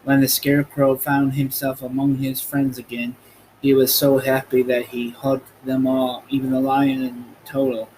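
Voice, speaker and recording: A man's voice: mid-range voice, completely flat delivery, normal speed, a little mellow, poor audio. A man reading a children's storybook aloud as scripted narration. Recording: light background noise.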